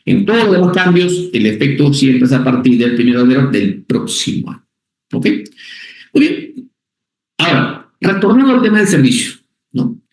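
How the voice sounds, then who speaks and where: A man's voice: medium pitch, big pitch swings, moderate pace. A man teaching a tax class, repeating key points and checking in with short questions. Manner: repetitive, checks in with "¿ok?" and "¿ya?"; stresses key words by repeating them. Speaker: tax instructor. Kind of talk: recorded class lecture. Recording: echoey room.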